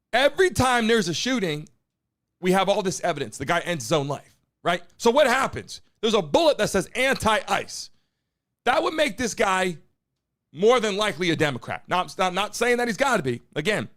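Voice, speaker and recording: A man hosting a live stream from his studio, talking loudly and bluntly and hyping up his chat. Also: high-pitched. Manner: hype-man shouting at the chat. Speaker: live-stream show host. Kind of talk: loud, brash live-stream commentary. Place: home studio in Miami.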